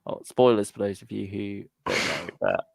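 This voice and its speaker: Guy hosting a fan stream, real gravelly fry, kinda low, thinks out loud, just chatting. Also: very animated, moderate pace. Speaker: host of a fan discussion livestream. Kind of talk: casual chat.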